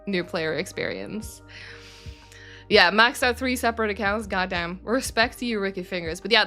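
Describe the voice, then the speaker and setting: A woman's voice: medium pitch, sharp tone. Chatty woman hosting a game stream. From Sweden. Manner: chatty, rambling, frequent fillers and self-corrections. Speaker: community manager hosting a game studio stream. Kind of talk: casual livestream chat reading viewer questions.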